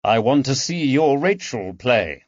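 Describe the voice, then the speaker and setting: A man voicing an earnest, thoughtful gentleman detective with educated, measured speech in an audiobook. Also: mid-range voice, very animated, no room sound. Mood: amused. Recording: dull lo-fi recording.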